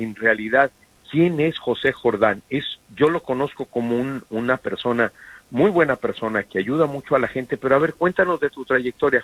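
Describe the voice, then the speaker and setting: A middle-aged man from Mexico co-hosts a studio radio show, talking warmly and earnestly. His pitch is average and the room sounds close and dead, with no echo. He wanders a little and leans on fillers as he thinks. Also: breathy edge. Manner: warm, rambling, earnest, with many fillers. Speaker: radio co-host and reporter. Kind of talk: radio talk show conversation. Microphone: studio microphone.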